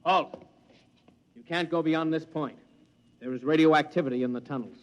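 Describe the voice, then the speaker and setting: An actor playing a stolid checkpoint guard in a 1950s radio drama, curt and matter-of-fact. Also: male voice, on the high side, moderate pace, close to an everyday voice. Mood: firm. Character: stolid, official male guard at a tunnel checkpoint. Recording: muffled, reverberant vintage radio recording.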